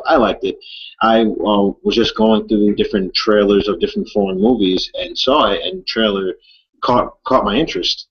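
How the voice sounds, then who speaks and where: Low-voiced man talking film, halting delivery. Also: breathy edge. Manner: halting, searching for words. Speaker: movie review co-host. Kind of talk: casual film discussion. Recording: dull lo-fi recording.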